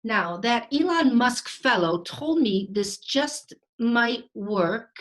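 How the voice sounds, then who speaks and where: A woman playing a vain, playful Renaissance genius in a theatrical comic monologue, with florid delivery, dramatic pauses and sprinkled Italian words. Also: a little high, animated, a bit bright. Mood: playful, courtly. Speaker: stage actor.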